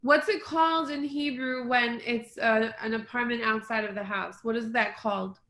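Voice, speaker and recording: A woman's voice: on the high side, steady tone, moderate pace, fairly bright tone. A woman from Israel asking questions on a casual call, hesitant and full of restarts. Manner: hesitant, lots of fillers and restarts. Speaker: interviewer. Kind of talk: casual video call chat. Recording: echoey room on a call.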